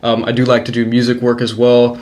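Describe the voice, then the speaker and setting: A man's voice: medium pitch, completely flat delivery, a little fast, a little mellow, a little hiss or hum. A man in his twenties with an American accent, chatting casually and rambling through stories as a podcast guest. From United States (Texas and Minnesota). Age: 20s. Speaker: podcast guest, freelance videographer. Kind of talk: casual interview chat.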